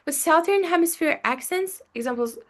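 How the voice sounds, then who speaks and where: A woman's voice: very high voice, expressive, normal speed. A young woman with a Turkish accent giving a class presentation, hesitant, with many fillers and frequent "okay?" checks. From Turkey. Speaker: student presenter.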